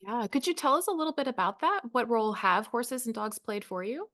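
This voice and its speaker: A woman hosting a podcast, reading an enthusiastic introduction and then chatting warmly with her guest. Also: fairly high pitch, animated, brisk. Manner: enthusiastic, gushing. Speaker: podcast host. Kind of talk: scripted intro then casual interview.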